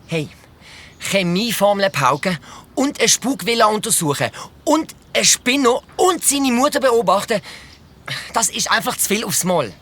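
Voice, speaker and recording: An actor playing an excitable, grumbling young boy detective in a children's audio drama, talking in a slangy, theatrical, complaining way. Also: medium pitch, measured pace, husky. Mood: worn out, complaining.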